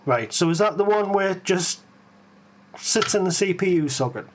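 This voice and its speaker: A Geordie man with a voice sitting a little above the usual male range. He hosts a live stream and talks his thoughts through, often pausing on ums and restarting sentences. His delivery is unscripted and conversational. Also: high-pitched, expressive, slight background noise. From Tyneside, England. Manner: hesitant, full of ums and restarts, musing aloud. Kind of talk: live tech stream, thinking aloud and answering chat.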